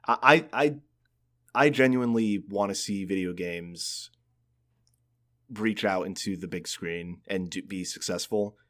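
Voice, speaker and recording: A man's voice: low-pitched, a little slow, a bit bright. A man co-hosting a podcast, chatting casually about games and often repeating words as he works out his opinions. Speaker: podcast co-host.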